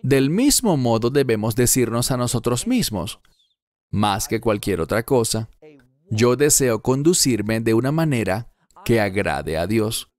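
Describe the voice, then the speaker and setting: A man dubbing an earnest Bible teacher in his fifties, delivering a verse-by-verse sermon with emphatic, deliberate phrasing. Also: mid-range voice, expressive, darkish tone, natural voice.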